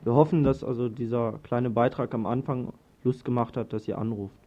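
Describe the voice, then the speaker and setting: A man's voice: mid-range voice, steady tone, moderate pace, slight background noise. A man speaking as a radio host, making announcements. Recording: dull lo-fi 1980s radio recording.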